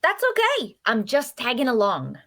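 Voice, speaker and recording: A woman's voice: fairly high pitch, huge pitch swings, moderate pace, fairly bright tone, heavily acted. A woman playing a chatty, nosy and cheerful traveler in a fantasy audio drama, with a teasing, folksy manner. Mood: teasing, pleading. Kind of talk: dark fantasy audio drama table read.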